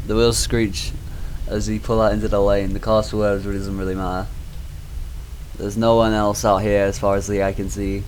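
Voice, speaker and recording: A man's voice: on the low side, slight background noise, rough recording. A man doing a casual game playthrough, reading lines aloud and tossing in blunt, sarcastic, sweary commentary. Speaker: gaming video host reading visual novels aloud.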